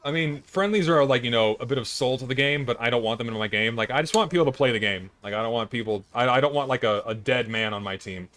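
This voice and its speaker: A hoarse, tired young man chatting casually on a live stream, rambling and blunt with plenty of swearing. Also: medium pitch, fast talker, few pauses, a bit bright.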